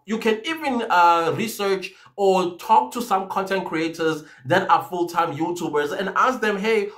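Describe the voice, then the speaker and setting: Man in his forties, higher-pitched voice, lively vlogger talking straight to his viewers from a home office; gestures constantly. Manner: animated, gesturing a lot, speaks to viewers directly. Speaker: YouTube creator. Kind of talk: casual talk to camera. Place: small home office, indoors.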